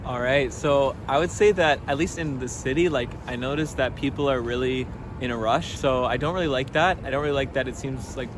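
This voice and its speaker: A man of average pitch answering an interviewer out on the street, relaxed and fluent with a casual filler-heavy flow. Traffic hums clearly behind him. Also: a little fast. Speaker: passer-by interviewee. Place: city street outdoors.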